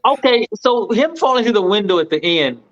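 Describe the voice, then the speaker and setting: A woman's voice: medium pitch, expressive, fairly quick, dull audio. A woman chatting casually about a film in a blunt, slangy, incredulous way.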